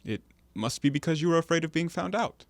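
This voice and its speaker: A man playing a guarded, nervous traveler in an audio drama, speaking in a hesitant, measured, questioning way. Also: fairly high pitch, animated, dry recording, clean studio sound. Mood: insinuating. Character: guarded, nervous, quietly probing male traveler. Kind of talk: stage-play acting in an audio drama.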